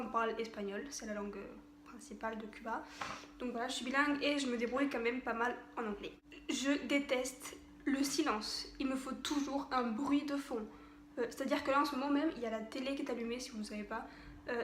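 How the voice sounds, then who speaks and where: A woman's voice: sits high, echoey room, a little hiss or hum. A young woman vlogging to camera at home, chatting casually with many hesitations and little laughs. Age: young adult. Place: home room with television on behind.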